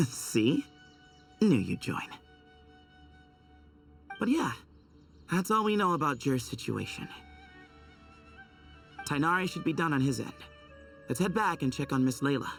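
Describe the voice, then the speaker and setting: A man's voice: a little high, big pitch swings, unhurried, vocal fry, long pauses, a bit bright, recorded from a distance, soft background music, full character voice. A man playing a confident, easygoing young temple leader in a video game, casual and assured as he explains. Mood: breezy.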